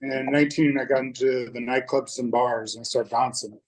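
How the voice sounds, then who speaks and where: A man's voice: mid-range voice, level tone, moderate pace, low-quality recording. A young man from North Dakota, sharing his personal story at a recovery meeting in a candid, loose way, with many fillers and restarts. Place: meeting room.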